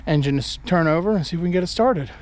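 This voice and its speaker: A man chats folksily into a handheld camera held at arm's length, tired after a long day. His voice sits a touch high for a man. A faint outdoor hum runs underneath. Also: racing. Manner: easygoing, folksy and chatty. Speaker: farm vlogger. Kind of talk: casual vlog narration. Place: outdoors on a farm and in a pickup truck. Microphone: handheld action camera at arm's length.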